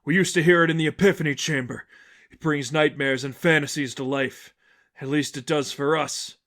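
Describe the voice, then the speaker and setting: A man acts out an uneasy defector from a cult in the thick of a story's battle. He speaks in an urgent, earnest way, a little higher than a plain narrating voice. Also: fairly even intonation, normal speed, a bit bright, lightly acted. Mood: uneasy, explaining. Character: urgent male reformed cultist, earnest, battle-strained. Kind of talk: audiobook-style character acting.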